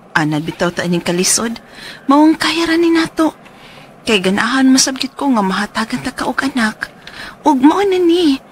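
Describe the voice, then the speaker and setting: A woman's voice: high-pitched, slight background noise. An actress with a Cebuano Filipino accent playing a hopeful, eager widowed mother of two in a radio drama.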